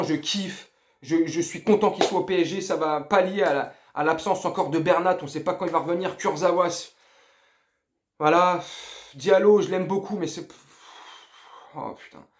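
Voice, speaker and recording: Enthusiastic, higher-voiced man, roomy sound. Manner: enthusiastic, slangy, emphatic, occasional swearing. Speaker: football fan video host. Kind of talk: casual, opinionated football commentary to camera. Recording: roomy, echoing voice recording.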